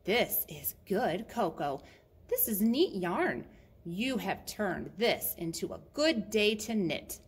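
A woman voicing a flustered village woman who knits, with a drawn-out delivery, in a children's picture book. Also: medium pitch, big pitch swings, husky, full character voice. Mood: pleased.

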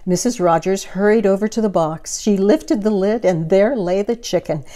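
A woman in her sixties reading a picture book aloud to children in a deliberate, expressive way. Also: mid-range voice. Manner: deliberate, expressive delivery for young listeners. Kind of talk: animated children's story reading. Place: living room, indoors.

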